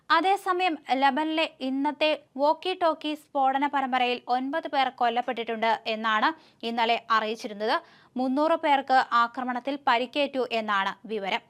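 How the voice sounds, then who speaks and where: A woman's voice: high voice, fairly bright tone. A woman with a Kerala Malayali accent presenting the news as scripted narration.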